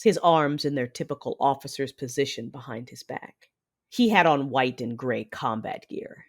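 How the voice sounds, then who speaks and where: A woman's voice: very deep voice, full character voice. A woman playing a fierce, conflicted Sith woman who narrates a sci-fi audio drama with controlled, brooding drama. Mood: brooding reflection.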